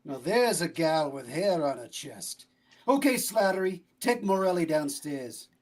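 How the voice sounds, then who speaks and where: A man's voice: a little high, animated, unhurried, darkish tone. A man playing a blunt, bossy homicide inspector in a vintage radio mystery. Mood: brusque, businesslike. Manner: blunt, tough interrogating cop talk. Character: brusque, bossy male homicide police inspector.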